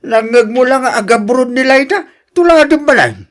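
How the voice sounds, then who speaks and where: A woman's voice: high voice, expressive, moderate pace. A woman playing a grumbling, hot-tempered and resentful family member in an Ilocano radio drama.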